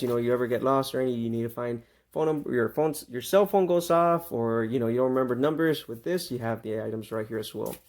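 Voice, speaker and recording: A man giving a casual, off-the-cuff product review, informal and a little halting as he lists features. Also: medium pitch. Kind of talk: casual unboxing review commentary.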